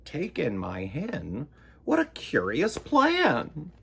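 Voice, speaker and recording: A man's voice: on the high side, wildly swooping pitch, takes it slowly, creaky, fairly bright tone, a little hiss or hum, exaggerated voice. A man voicing a flustered, grumbling little old lady from a storybook, plaintive and pleading. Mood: baffled.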